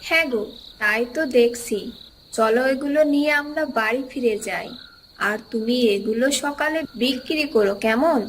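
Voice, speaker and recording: A woman's voice: on the high side, normal speed, slight background noise, close to an everyday voice, poor audio. A woman playing a village wife in a cartoon moral tale, coaxing and persuasive as she eggs her husband on. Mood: pleased. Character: village wife, coaxing and eager for more treasure. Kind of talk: Bengali cartoon moral-story voice acting.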